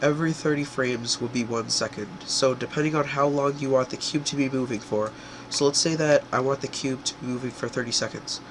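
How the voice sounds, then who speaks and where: A young man with a stuffy, congested voice, talking casually through a screen-recorded software tutorial, full of fillers and quick self-corrections. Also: mid-range voice, steady tone, noisy background. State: congested from a cold. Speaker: tutorial creator.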